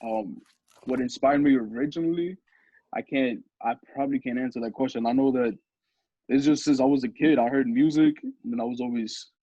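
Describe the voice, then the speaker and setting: Young male guest, deep husky voice, laid-back rambling talk, dull recording. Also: brisk, no echo. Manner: relaxed, rambling with restarts and laughs. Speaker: interview guest, musician. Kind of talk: casual interview talk.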